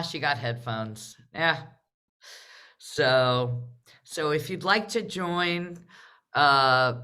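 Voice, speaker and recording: A woman with a bright, forceful voice hosts a live online event. Her delivery is slow and casual, broken into short phrases with frequent little check-ins. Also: on the low side. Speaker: host of a live theatre discussion. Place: video call.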